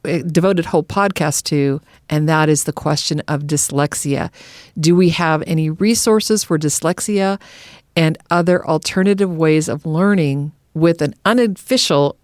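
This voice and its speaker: A woman hosting a podcast, presenting in a polished, clearly phrased way. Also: deep voice, dry recording, studio quality. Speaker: podcast host. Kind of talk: hosting, presenting listener questions.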